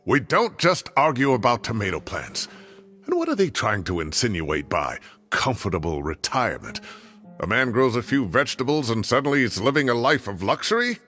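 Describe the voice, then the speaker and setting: An actor playing a fussy, indignant retired professor of advanced age, grumbling and theatrical, in a game scene with faint music. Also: male voice, medium pitch, very animated, normal speed, bright, forceful tone. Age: older.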